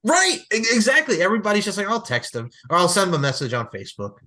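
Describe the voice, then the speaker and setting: Fast-talking man in his thirties. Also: high-pitched, a little hiss or hum. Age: 30s. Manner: animated, repeats filler phrases. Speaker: podcast co-host. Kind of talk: casual chat.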